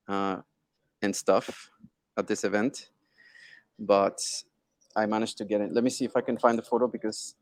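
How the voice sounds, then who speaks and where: A man's voice: lowish voice, creaky, no echo, low-quality recording. A man being interviewed on a video call, talking casually and often checking in with little tag questions. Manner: informal, often checking with "right?" and "you know?". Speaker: interview guest, tech entrepreneur.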